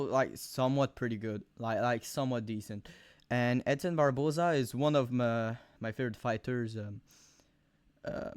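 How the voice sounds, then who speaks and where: A young man with a thick Quebec French accent giving rambling, profane fight predictions on camera, full of fillers and sudden animated outbursts. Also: mid-range voice, dry recording. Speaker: MMA video host. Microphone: camera mic, close.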